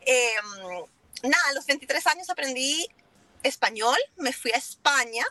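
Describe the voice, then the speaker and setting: A woman's voice: sits high, animated, unhurried, pushed and bright, no echo. A woman, a native speaker of Italian and English, talking casually as an interview guest in foreign-accented Spanish and slipping in English words. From Italy and Germany. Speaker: interview guest, business owner.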